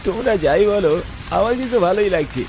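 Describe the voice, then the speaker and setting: Eager young village lad played by a man, high-pitched, exclaiming cartoon delivery, with loud rain noise behind. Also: lively intonation, a little fast. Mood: eager, delighted. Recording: noisy rain ambience behind voice.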